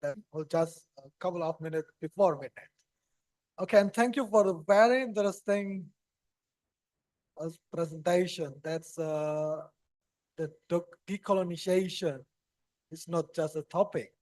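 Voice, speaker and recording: A man with an Indonesian accent moderates a conference session. His voice sits a little high and sounds dry and close, with no room sound. He speaks haltingly and leans on fillers between phrases. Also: a little mellow, no echo.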